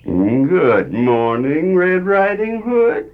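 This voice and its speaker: A woman's voice: low voice, big pitch swings, extremely slow, full character voice. A woman reading a storybook aloud, voicing a wicked, cunning male wolf who makes his voice falsely sweet. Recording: dull lo-fi storybook recording.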